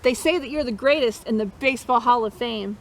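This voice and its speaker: Woman, high voice, interactive picture-book read-aloud for kids, encouraging; slightly noisy recording. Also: brisk. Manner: encouraging, chanting refrains for listeners to repeat. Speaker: storytime host reading a picture book to children.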